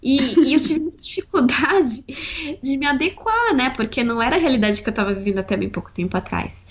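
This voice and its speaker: A woman's voice: sits high, lively intonation, fast, dull audio, slight background noise. A Brazilian woman co-hosting a podcast, sharing personal memories in a reflective, casual way. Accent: Brazilian Portuguese. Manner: reflective, personal storytelling, frequent "né". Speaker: podcast co-host. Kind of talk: casual chat.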